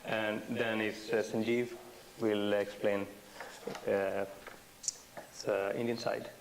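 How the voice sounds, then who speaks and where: A man chairing a meeting, speaking informally in halting phrases full of fillers. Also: lowish voice, little pitch movement, vocal fry, crowd noise. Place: indoor meeting. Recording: hissy, slightly noisy meeting recording.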